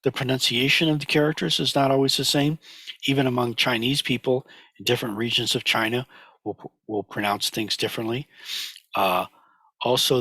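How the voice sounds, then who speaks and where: A man teaching a class over slides, a little hoarse from a cold, halting with many fillers and restarts. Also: on the low side, pushed and bright, no room sound, rough recording. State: recovering from a cold, slightly hoarse.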